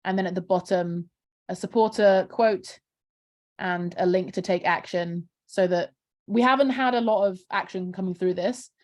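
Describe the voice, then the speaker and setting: A woman's voice: mid-range voice, normal speed, darkish tone. Chatty woman campaigner. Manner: chatty, many fillers like "um" and "kind of". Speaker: charity campaigner. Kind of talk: casual video call interview, screen-share walkthrough.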